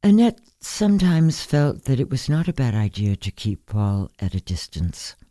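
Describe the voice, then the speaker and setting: A woman reading a literary story as an audiobook narrator, in a measured, unhurried manner. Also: very deep voice, very animated, dark tone, dry recording. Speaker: story reader.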